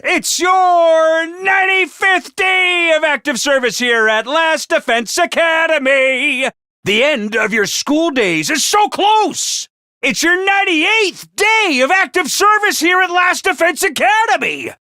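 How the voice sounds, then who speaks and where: A man voicing a small, bossy male robot commander in a video game, theatrical and self-important, prone to shouting. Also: animated, measured pace, no echo, clean studio sound, heavily acted. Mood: announcing. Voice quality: squeaky, over-the-top. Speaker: voice actor. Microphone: dry studio mic.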